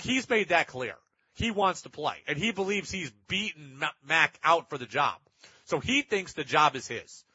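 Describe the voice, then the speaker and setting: Man, sports radio host, higher-pitched husky voice, opinionated, emphatic, dull dry studio sound. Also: bright, forceful tone, no room sound. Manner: opinionated, emphatic, repeats points for effect. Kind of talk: sports talk radio commentary, reading listener texts.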